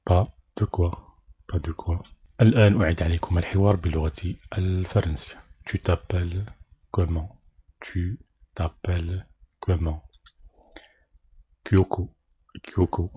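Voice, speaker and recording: A deep, low-pitched man with a husky, mellow voice teaches a dialogue, pronouncing each line slowly and repeating it again and again. The recording is dry and close, with no room sound. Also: steady tone, dry recording. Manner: explains each line in Arabic, then repeats the French slowly. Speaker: French teacher. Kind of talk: slow repeated drill of a French dialogue.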